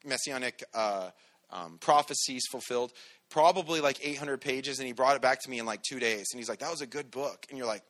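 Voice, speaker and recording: A pastor preaching a sermon in a loud, commanding voice, energetic and conversational. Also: male voice, medium pitch, fairly quick.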